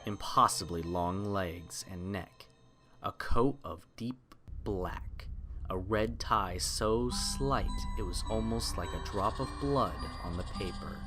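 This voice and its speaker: Male narrator for a horror tale, deepish voice, dramatic and deliberate, over clear background music. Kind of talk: scripted horror story narration.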